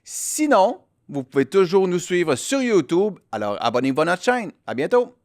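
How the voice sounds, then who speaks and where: A man's voice: high voice, big pitch swings, normal speed, dry recording, studio quality. A man with a Quebec French accent reading a show promo in an upbeat announcer manner. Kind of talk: promo read.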